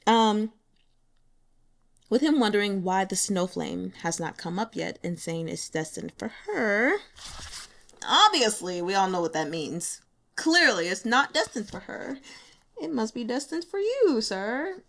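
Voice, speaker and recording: A woman's voice: medium pitch, measured pace. Chatty, giggly woman reacting to a novel. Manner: chatty and animated, laughs often, acts out her own reactions; carefully spells out a Mandarin phrase syllable by syllable. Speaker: audiobook reader sharing chapter notes. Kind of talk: casual chat.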